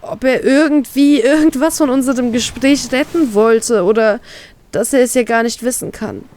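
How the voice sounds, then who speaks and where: A woman reading her own fan fiction aloud in an expressive, emotional way. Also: a little high, normal speed. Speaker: fan fiction author and reader.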